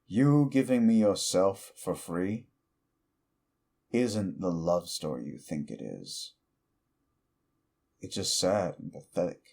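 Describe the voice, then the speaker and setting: Actor doing a petty elder demon, male, deep and unhurried, scornful, audio roleplay. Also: animated, creaky, a little mellow, heavily acted. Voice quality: slow deep mocking drawl.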